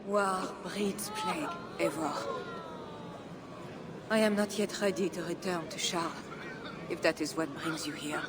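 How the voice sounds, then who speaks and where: Medieval queen for a game drama, woman actor: measured, pious, compassionate, formal, set against clear background noise. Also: lowish voice, steady tone, over a noisy crowd, natural voice. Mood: guarded. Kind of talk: dramatic video game acting.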